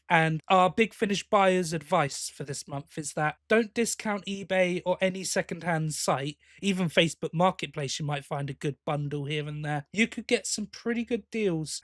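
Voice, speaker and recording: A man's voice: a little high, a bit bright, no echo, studio quality. A man co-hosting a podcast, talking in a casual, chatty and enthusiastic way. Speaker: podcast co-host.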